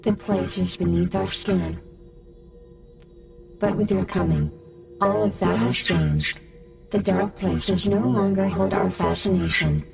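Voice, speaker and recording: A woman voicing a solemn, cryptic guardian spirit king in a video game, with a creaky, gravelly voice and a grandiose manner. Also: medium pitch, steady tone, darkish tone, far from the mic. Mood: solemn, weary. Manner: slow, grandiose, pronouncing. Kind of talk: scripted video game dialogue. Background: music.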